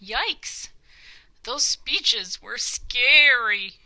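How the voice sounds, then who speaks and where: A woman narrating a children's story from a script, with dramatic, deliberate phrasing. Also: fairly high pitch, lively intonation, sharp tone, poor audio.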